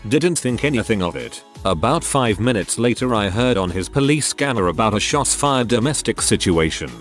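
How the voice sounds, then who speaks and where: A man's voice: mid-range voice, lively intonation, moderate pace, soft background music. A man reading online stories aloud as scripted narration.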